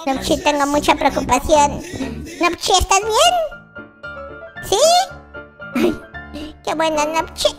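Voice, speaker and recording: A performer voicing an excitable, boastful but kind baby boy game character with a squeaky childlike voice, exclaiming and repeating words as gameplay roleplay commentary. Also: slow, pauses often, full character voice. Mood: worried. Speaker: gaming channel host. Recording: music behind the voice. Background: children's music.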